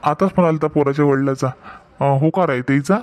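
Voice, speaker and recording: A man's voice: fairly high pitch, a little fast, darkish tone, close to an everyday voice. A man voicing a practical, reassuring middle-aged village father in a cartoon family skit, with a homely Varhadi Marathi accent. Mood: cheerful, inquiring.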